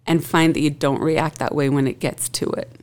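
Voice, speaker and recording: A woman talking in a relaxed, reflective way in a casual group chat, often breaking into laughter. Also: sits low, normal speed.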